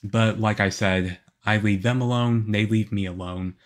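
A man playing a shy, moody, lonely young half-vampire boyfriend in an ASMR roleplay, with a hesitant, halting, earnest delivery. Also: lowish voice, fairly even intonation, lightly acted. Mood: quiet, matter-of-fact.

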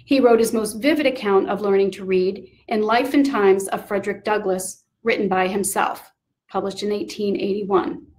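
Female presenter, medium register, for formal scripted history talk; deliberate delivery. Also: mid-range voice, steady tone, darkish tone. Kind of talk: scripted historical lecture narration.

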